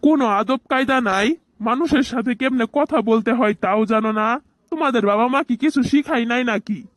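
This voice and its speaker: A man's voice: very high-pitched, normal speed, a bit bright, no room sound. A man voicing a grumbling, easily offended older village uncle in a cartoon, scolding in colloquial speech. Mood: indignant scolding. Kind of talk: cartoon voice acting.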